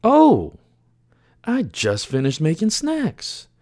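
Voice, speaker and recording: Man as a dark, husky, lilting philosopher. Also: high-pitched, huge pitch swings, slow, dry recording, clean studio sound, exaggerated voice. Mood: serenely musing. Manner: formal, musing. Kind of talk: anime fan dub acting.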